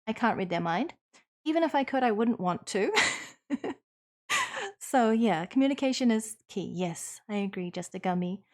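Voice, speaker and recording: NZ woman streamer, casual chat, sincere and reassuring, thinks aloud, mid pitch. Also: animated, moderate pace, pauses often, a little mellow. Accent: New Zealand. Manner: earnest, reassuring, thoughtful with frequent ums. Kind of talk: casual chat answering viewers.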